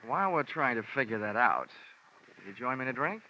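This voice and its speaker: An actor playing a tough, wry, world-weary insurance investigator in clipped old-time radio detective style. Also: male voice, mid-range voice, very animated, brisk, background noise, natural voice. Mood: cool, probing. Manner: clipped, hard-boiled, wry. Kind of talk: 1940s-50s radio detective acting and narration. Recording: dull old radio recording with music.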